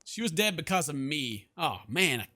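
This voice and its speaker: A man's voice: fairly high pitch, very animated, moderate pace, pushed and bright. A man hosting a gaming video, reading story text aloud and commenting in a jokey, theatrical way. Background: game music.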